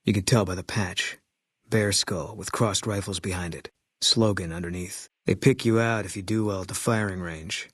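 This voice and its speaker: An actor playing a grim, haunted former soldier and sniper in a video game, speaking in terse, clipped, guarded lines. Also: male voice, sits low, fairly even intonation, normal speed, fairly bright tone, slightly rough, no room sound. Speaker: companion character. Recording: dry studio sound.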